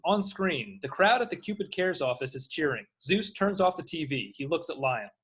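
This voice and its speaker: A man narrates screen directions from a script. His voice is somewhat high for a man and husky, with lively movement in pitch. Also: moderate pace.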